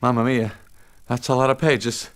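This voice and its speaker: A man voicing a chatty, sardonic, excitable young bee in an animated game. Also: mid-range voice, moderate pace, no room sound, lightly acted. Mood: nervous banter. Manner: sardonic, conversational, exclaiming. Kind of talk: animated game cutscene acting.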